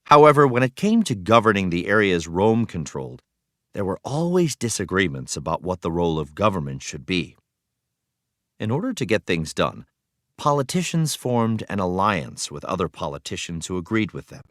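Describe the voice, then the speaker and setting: A man reading an educational text aloud as a teacher, in an explanatory way with clear phrasing for young learners. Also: low voice, sing-song, moderate pace, fairly bright tone, no echo, studio quality. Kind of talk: read-aloud educational narration.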